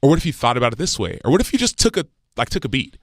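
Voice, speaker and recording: A man's voice: mid-range voice, big pitch swings, very fast, no room sound, studio quality. A man in his thirties from Texas, a former pro football player, answering interview questions earnestly and repeating key phrases for emphasis. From United States, Texas.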